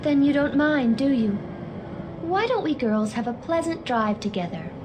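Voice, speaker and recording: A woman's voice: high voice, sing-song, moderate pace, noisy background. A woman playing a cool, teasing, mysterious young woman in an anime audio drama, dry and detached in delivery. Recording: music behind the voice.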